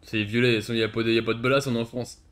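A man's voice: medium pitch, flat delivery, racing, a bit bright. A man playing an online roleplay game, bantering brashly with mocking, confrontational swearing. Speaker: online roleplay gamer trolling other players.

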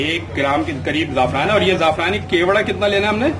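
A man's voice: high voice, fast. A man hosting a cooking lesson, explaining a recipe step by step and mixing in English kitchen words. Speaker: host of a cooking recipe video. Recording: clear background noise, cooking ambience.

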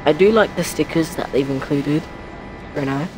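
A man's voice: a little high, moderate pace, noisy background. A young man from with a blocked, stuffy nose, chatting offhandedly while playing a train simulator. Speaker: game streamer. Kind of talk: casual gameplay commentary.

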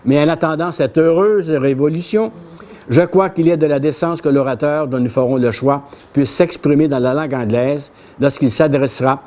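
Older Québécois man lecturing on history. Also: warm tone. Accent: Québécois French. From Quebec. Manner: plain conversational telling, reads quoted speeches with lifted pitch. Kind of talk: public history lecture, reading from a tablet. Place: small bookshop café with brick walls, before an audience.

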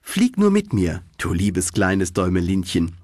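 A man voicing a small, kind female swallow in a fairy tale, grateful and eager, with a gentle coaxing manner. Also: low-pitched, wildly swooping pitch, measured pace, dry recording, studio quality, heavily acted.

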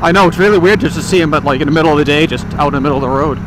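A man's voice: fairly high pitch, racing. A man chatting casually as a motovlog host while riding, recorded on a helmet mic. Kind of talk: casual vlog chat. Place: outdoors, riding a motorcycle on public roads. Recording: loud motorcycle wind and engine noise. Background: wind, engine and road noise.

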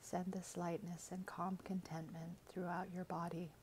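A woman reading a scripted guided meditation in a gentle, soothing, measured way, with soft, breathy phrase endings. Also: on the low side, little pitch movement, a little hiss or hum, rough recording.

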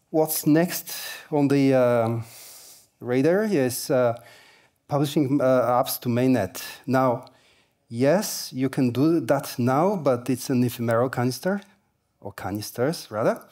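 A middle-aged man giving a keynote on a large conference stage, talking through a headset mic. His voice sits at an ordinary middle pitch. He speaks casually and haltingly, with plenty of ums and small jokes. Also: darkish tone. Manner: casual, halting, many fillers, light humor.

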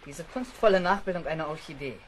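An actor playing a hot-tempered, defiant young naval officer in an audio drama, curt and menacing. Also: male voice, fairly high pitch, fast, slight background noise, natural voice. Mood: indignant.

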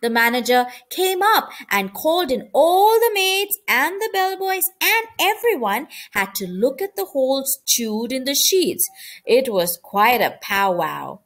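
Bossy, cautious male mouse elder for a storybook, emphatic, unhurried, lively pitch played by a woman. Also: heavily acted. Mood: grave, explaining. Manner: emphatic, admonishing.

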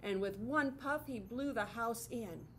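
High-voiced woman reading a children's story. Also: a little slow, a little hiss or hum. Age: middle-aged. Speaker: teacher reading aloud to children. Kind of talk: expressive picture-book read-aloud. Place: classroom, indoors.

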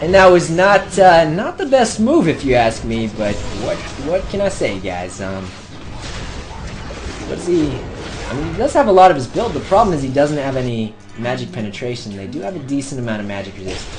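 A young man giving excited live gameplay commentary, shouting during fights and talking himself through moves, his voice going hoarse. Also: mid-range voice, moderate pace, music in the background. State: losing his voice.

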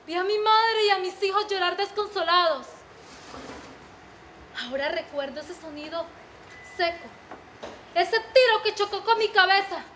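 Actress doing a sad murdered woman's ghost, super high bright voice, slow and solemn. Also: a little hiss or hum. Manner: solemn, lamenting. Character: spirit of a murdered woman, grieving and bitter. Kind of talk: stage acting.

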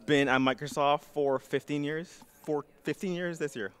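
A man's voice: on the high side, steady tone, moderate pace, smooth. A man in his thirties from Barbados, chatting casually and playfully as a podcast guest. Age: 30s. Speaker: podcast guest, software engineer. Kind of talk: casual chat.

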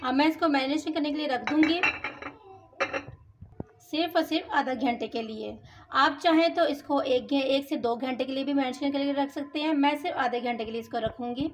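High-voiced woman listing recipe amounts. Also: moderate pace. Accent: Pakistani Urdu. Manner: lists amounts one by one. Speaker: cooking channel host. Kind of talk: step-by-step recipe voice-over. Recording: dull lo-fi with faint hiss.

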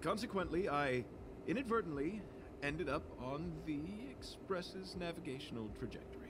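A man's voice: on the high side, lively intonation, normal speed, close to an everyday voice. A man voicing an earnest, serenely grandiose knight in a video game, with formal, ceremonious phrasing. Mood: courteous, earnest. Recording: game audio with clear background noise.

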